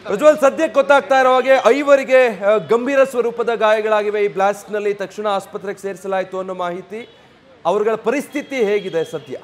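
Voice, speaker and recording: This is a male news anchor with a notably high-pitched voice. He delivers live breaking-news commentary in a dramatic way. He often builds his points as rhetorical questions. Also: a little fast. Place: TV news studio.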